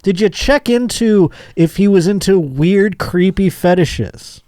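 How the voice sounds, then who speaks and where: A man's voice: high-pitched, lively intonation, measured pace, dark tone, no room sound, clean studio sound. A man hosting a casual comedy podcast, reading news stories aloud and riffing on them with sardonic, profane asides. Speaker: podcast host.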